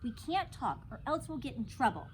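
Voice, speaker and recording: A woman plays a stubborn, know-it-all little girl from a storybook. She scolds in a bossy, lively way, with some background noise. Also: high voice, normal speed, pitched above the performer's own voice. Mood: scolding. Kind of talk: children's storybook read-aloud.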